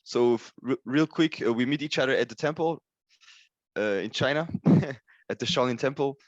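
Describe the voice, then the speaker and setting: A man's voice: medium pitch, moderate pace, dry recording, dull audio. A man in his twenties from Switzerland, a non-native English speaker, modestly describing himself as a guest and searching for words. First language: not English. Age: 20s. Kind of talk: casual interview talk.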